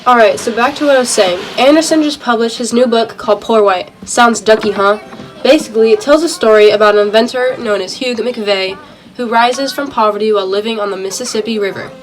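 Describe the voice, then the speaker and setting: A woman's voice: fairly high pitch, a little fast, far from the mic, faint music behind, rough recording. A young woman reading a scripted radio report as a 1920s-style presenter.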